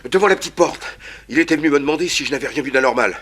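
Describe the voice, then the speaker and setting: A man's voice: fairly high pitch, expressive, very fast, fairly bright tone, breathy edge. A man playing an urgent, alarmed police guard in a 1960s French radio drama.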